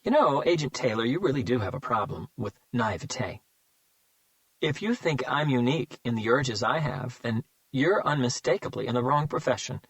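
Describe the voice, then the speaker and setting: A man's voice: medium pitch, animated, a bit bright, no room sound, poor audio. A man voicing a smug male serial killer who mocks and savors his words, in an audiobook reading. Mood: smug, taunting. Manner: mocking, slow and savoring.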